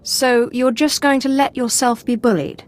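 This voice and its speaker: A woman's voice: on the high side, steady tone, normal speed, natural voice. A woman playing a cool, commanding Sith interrogator. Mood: challenging. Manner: controlled, clipped, authoritative. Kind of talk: video game voice acting.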